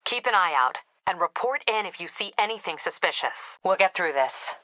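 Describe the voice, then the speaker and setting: Game role, a no-nonsense female police captain: forceful, dry-humored, clipped delivery, very close and dry recording. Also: fairly low pitch, animated, normal speed, dry recording, poor audio. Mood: firm, driven. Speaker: video game voice actor. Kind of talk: dramatic video game dialogue.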